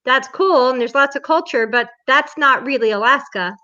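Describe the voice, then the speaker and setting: A woman's voice: high voice, normal speed, pushed and bright. A woman from Hawaii interviewing conversationally, earnestly explaining and offering long personal asides. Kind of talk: casual, reflective conversational interviewing. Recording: slightly dull, lo-fi sound.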